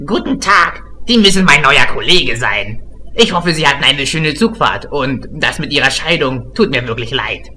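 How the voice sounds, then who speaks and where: A man playing an excitable, childish, smug private detective in an amateur comedy audio drama, gushing and theatrical. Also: mid-range voice, moderate pace, slight background noise. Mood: smug, polite.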